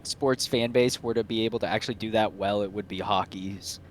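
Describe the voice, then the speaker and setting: Male podcast co-host, fairly low pitch, relaxed-format sports chat, slightly noisy recording. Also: fairly quick. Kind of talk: casual sports chat with friends.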